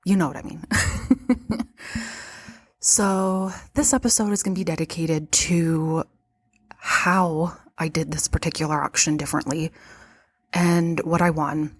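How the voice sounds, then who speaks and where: A woman's voice: low voice, fairly even intonation, unhurried, pauses often, no room sound. A woman hosting a casual podcast from behind her shop counter, talking in a chatty way with frequent laughs, her nose stuffed up from allergies. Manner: chatty, laughs often between remarks. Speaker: podcast host and vintage shop owner.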